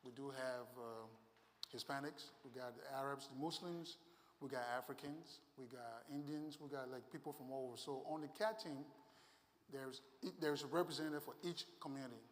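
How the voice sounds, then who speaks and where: A man's voice: medium pitch, normal speed. A man from Liberia, a sheriff's deputy, giving a conversational safety training talk with fillers. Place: church hall. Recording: long hall reverb.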